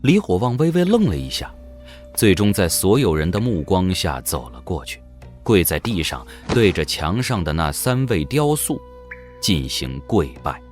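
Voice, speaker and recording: A man's voice: low-pitched, big pitch swings, moderate pace, faint music behind. A man narrating an audio drama in a scripted, dramatic storytelling manner.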